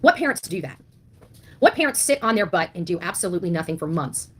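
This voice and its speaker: A woman's voice: on the low side, big pitch swings, fast talker, fairly bright tone, slightly rough. A woman delivering an impassioned monologue as an online commentator, blunt and indignant, leaning on rhetorical questions and emphatic repetition. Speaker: online commentator on a missing-child case.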